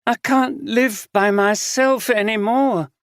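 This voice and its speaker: A man voicing a disturbed middle-aged woman, slow and dreamy, then sharp and pleading. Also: high-pitched, steady tone, dry recording, studio quality, voice pushed up, heavily acted. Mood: eerie tender.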